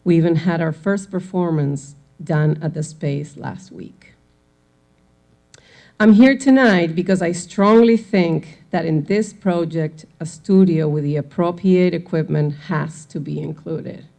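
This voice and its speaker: A woman's voice: lowish voice, unhurried, creaky, mellow. Argentine woman giving public comment. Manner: earnest, apologetic about her English. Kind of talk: prepared public comment speech.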